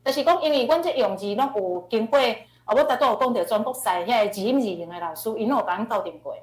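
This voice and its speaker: Female teacher, Taiwanese accent, slightly high pitch, explanatory and repetitive for emphasis; roomy, echoing recording. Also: lively intonation, quick pace. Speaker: teacher answering questions on radio drama. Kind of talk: informal online question-and-answer explanation. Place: online video class. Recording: echoey online class audio.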